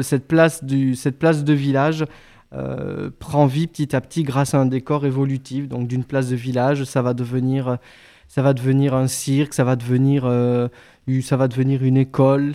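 Halting man talking up his show. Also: medium pitch, warm tone. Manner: halting, many restarts. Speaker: actor promoting his stage show. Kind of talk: radio interview guest.

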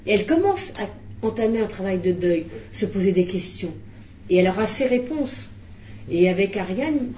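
A middle-aged woman, a film director, talking at a press conference, animated and restarting often. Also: medium pitch, roomy sound, slight background noise. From Belgium.

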